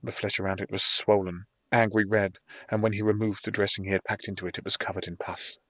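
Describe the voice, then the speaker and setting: A man's voice: fairly low pitch, flat delivery, fast, a bit bright, no echo. A man reading a scripted first-person fantasy audiobook narration.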